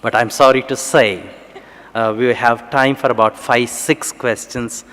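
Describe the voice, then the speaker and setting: A man's voice: mid-range voice, steady tone, measured pace, a bit bright. Indian man chairing a session. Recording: reverberant conference hall.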